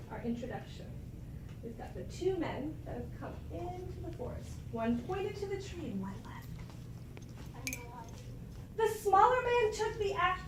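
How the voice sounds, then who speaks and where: Woman teacher, high voice, expressive storybook reading, echoey classroom, far from the mic, background noise. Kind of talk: interactive read-aloud to a class.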